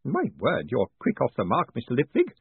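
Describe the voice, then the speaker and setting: A man's voice: mid-range voice, huge pitch swings, fairly quick, vocal fry, breathy edge, no echo, exaggerated voice. A man voicing a kindly, enthusiastic print-shop owner who talks in an eager, bumbling, polite way. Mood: bemused. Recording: tinny phone-like sound, dry.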